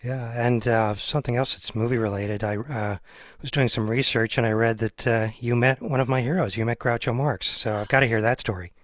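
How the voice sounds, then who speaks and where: A man's voice: medium pitch, fairly even intonation, fairly quick, no echo. A man conducting a casual interview in an agreeable, attentive way. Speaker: interviewer, host.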